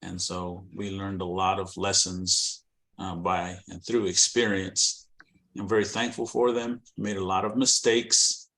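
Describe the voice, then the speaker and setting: A middle-aged man with a Californian American accent, a missionary guest telling his story in an interview, reflective and hesitant with many pauses and restarts. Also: deep voice, little pitch movement, fairly bright tone. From born in Guatemala, raised in California.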